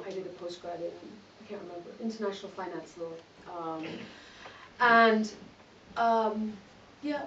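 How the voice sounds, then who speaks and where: A woman's voice: lowish voice, a bit bright, roomy sound. Brief: middle-aged woman from Malawi, candid guest speaker, distant mic, dull noisy sound. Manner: candid, self-mocking, laughs often. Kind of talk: conversational talk to an audience. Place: event hall.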